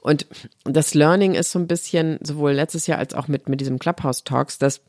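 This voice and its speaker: A woman's voice: low-pitched, steady tone, normal speed, a little mellow, dry recording, clean studio sound. A middle-aged woman from Germany, a guest on a podcast talking about her health platform, explaining things earnestly and at length. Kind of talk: podcast conversation.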